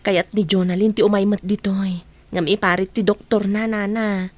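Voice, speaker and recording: Radio drama adult female, acted by a woman: kind, polite, reasonable, medium pitch, explains patiently. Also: level tone, normal speed, darkish tone, no echo, natural voice. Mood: concerned.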